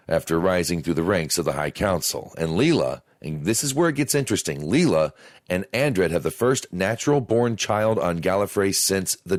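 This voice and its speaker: A man reading a scripted review as a fan, in a measured way. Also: deep voice, no room sound, clean studio sound. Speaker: audio drama reviewer.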